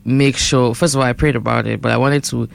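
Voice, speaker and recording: A Cameroonian singer with a Cameroonian accent, chatting easily as an interview guest and laughing often. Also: male voice, mid-range voice, steady tone, a little fast, vocal fry, smooth. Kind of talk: casual interview chat.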